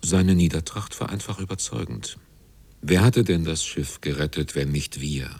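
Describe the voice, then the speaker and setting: Very deep, husky male narrator. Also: moderate pace, a little mellow, no echo. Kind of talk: scripted literary narration in radio drama.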